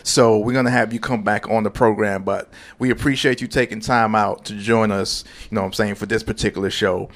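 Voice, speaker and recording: Low-pitched American male host. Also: steady tone, fairly quick, few pauses. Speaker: radio show host. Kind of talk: casual interview hosting.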